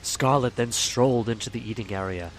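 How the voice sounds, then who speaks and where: A man's voice: medium pitch, fairly quick, lightly acted, low-quality recording. A man playing an ominous storyteller, reading scripted horror-tale narration to his audience.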